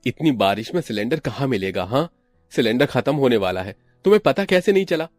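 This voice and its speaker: A man's voice: mid-range voice, sing-song, brisk, a little mellow, no room sound, studio quality, soft background music, close to an everyday voice. A man voicing a grumbling, exasperated man of the household in a cartoon story, with a complaining manner. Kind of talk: Hindi cartoon story acting.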